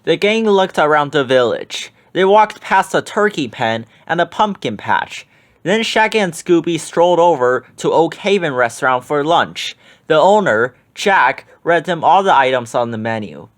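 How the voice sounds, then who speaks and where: A man reading a children's book aloud in an expressive, lively way. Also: on the high side, moderate pace.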